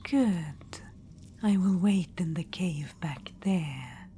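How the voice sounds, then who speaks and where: A woman voicing a regal undead witch-queen in an ASMR roleplay, speaking in a soft whisper and calling the listener darling. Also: lowish voice, extremely slow, a little hiss or hum. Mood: diplomatic. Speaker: ASMR performer.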